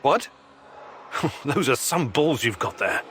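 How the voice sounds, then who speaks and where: A man's voice: mid-range voice, lively intonation, slow pace, fairly bright tone, a little hiss or hum. An actor playing a tough, blunt, skeptical male protagonist in a video game, confrontational and sardonic. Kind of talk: video game dialogue acting.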